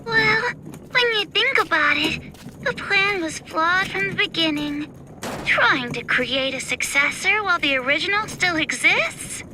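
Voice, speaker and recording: A woman's voice: extremely high pitch, lively intonation, measured pace, background noise. A woman voicing a small, sullen girl with a childlike manner and a wicked, smug streak in a game cutscene. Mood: smug, scornful. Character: small girl, sweet-sounding but wicked, scheming, weary. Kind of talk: video game cutscene acting.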